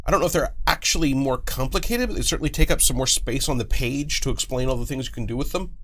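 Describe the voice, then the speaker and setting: A middle-aged man with a medium-pitched voice talks as a guest on a studio panel. He explains how something is designed, working through it methodically. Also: quick pace, fairly bright tone, slight background noise. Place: small curtained studio, seated on couch.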